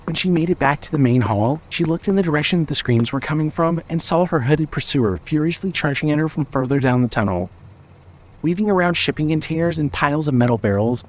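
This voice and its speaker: A man reading scripted story narration in a measured, expressive, dramatic way. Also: fairly high pitch.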